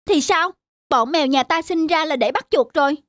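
A woman's voice: high voice, normal speed, no room sound, clean studio sound, natural voice. A woman voicing a greedy, lazy male cat in a children's cartoon, bossy and scornful. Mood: stern.

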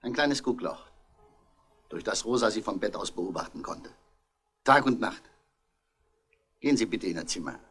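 A man's voice: lowish voice, expressive, normal speed, long pauses, a bit bright, husky. A man playing a stern, accusing police inspector in a 1960s radio crime drama, giving clipped, authoritative commands. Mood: commanding.

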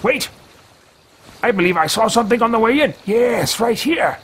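A man's voice: extremely high pitch, lively intonation, moderate pace, fairly bright tone, husky, voice pushed up, full character voice. A man voicing a formal male mage adventurer who mutters to himself in stately phrases while roleplaying a game. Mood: pleased.

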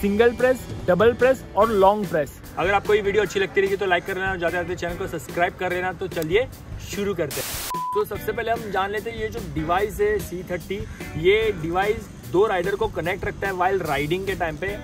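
Male Indian-accented motovlogger, higher register, step-by-step how-to delivery over music. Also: fairly quick. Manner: explanatory, mixes English terms into Hindi. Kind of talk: tutorial vlog to camera and voice-over. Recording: music behind the voice. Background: background music.

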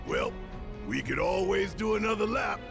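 An actor playing a big, hot-headed resistance fighter in a video game, with a gruff American voice and a brash, slangy, theatrical delivery. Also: male voice, sits high, animated, normal speed, over music, heavily acted. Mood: sardonic, brash. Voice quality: gruff, gravelly.

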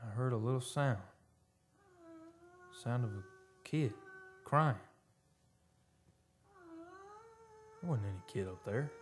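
An actor playing a haunted oil driller in a radio drama, telling his story in a folksy, halting, suspenseful way. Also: mid-range voice, a little hiss or hum, natural voice. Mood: uneasy. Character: haunted male oil driller recounting a terror. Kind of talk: live old-time radio drama monologue. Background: live dramatic music.

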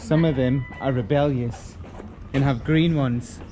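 A man's voice: mid-range voice, steady tone, unhurried, background noise. A man in his forties chatting casually and jokily on a family holiday vlog, recorded on a handheld camera outdoors. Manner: jokey. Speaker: family vlogger, father. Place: outdoors at tourist sites and on shuttles.

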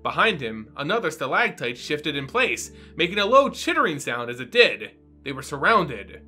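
A man's voice: on the high side, big pitch swings, normal speed, bright, forceful tone, husky. A man in his thirties hosting and narrating stories dramatically, with a theatrical, teacher-like address to his listeners. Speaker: host and story reader. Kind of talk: dramatic story narration and explainer. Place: small home room, indoors. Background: music.

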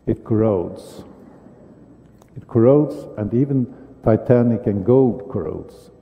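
Older Swedish-accented dentist, halting lecture delivery, dull lo-fi sound. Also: male voice, on the low side, gravelly. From Sweden. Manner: halting, with many fillers and restarts. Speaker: dentist giving a conference talk. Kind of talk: conference lecture. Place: large conference hall stage. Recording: dull sound with long hall reverb.

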